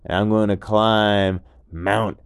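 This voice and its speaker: A man's voice: lowish voice, flat delivery, takes it slowly, clear, resonant tone, no room sound, studio quality. A man voicing a friendly little gecko in a bedtime story, with an easygoing surfer-dude way of talking. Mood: breezy. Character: laid-back, friendly little green gecko on vacation.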